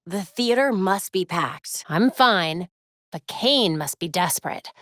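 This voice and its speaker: Young video game heroine, American accent, wry, determined, crisp, conversational, dry studio sound. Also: female voice, fairly low pitch, lively intonation, takes it slowly, a bit bright, no echo. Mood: focused. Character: young crime-fighting superheroine, dry-witted, determined, brisk. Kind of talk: scripted video game voice lines. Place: recording studio.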